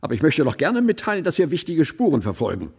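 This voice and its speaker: An actor playing an impatient police president in a radio drama, sarcastic and blustering, firing pointed questions. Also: male voice, fairly high pitch, wildly swooping pitch, fast, creaky, a little mellow, breathy edge, exaggerated voice.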